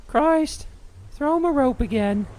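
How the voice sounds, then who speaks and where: A man's voice: high voice, huge pitch swings, unhurried, a little mellow, pitched above the performer's own voice, full character voice. A man voicing a commanding, foul-mouthed woman pirate captain in an audiobook, barking in salty pirate talk. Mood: urgent. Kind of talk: audiobook character voice.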